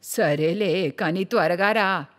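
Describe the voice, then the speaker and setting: A woman's voice: lowish voice, slow. Grumbling elderly mother-in-law, heavy reverb. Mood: sour, weary. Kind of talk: cartoon moral story voice acting.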